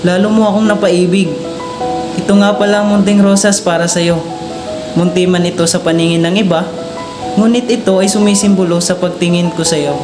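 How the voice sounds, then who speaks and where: A man's voice: high voice, steady tone, brisk, close to an everyday voice. A young Filipino man playing an earnest, devoted young patriot in a student radio drama, speaking in formal declamation. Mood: tender. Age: young adult. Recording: roomy echo under music.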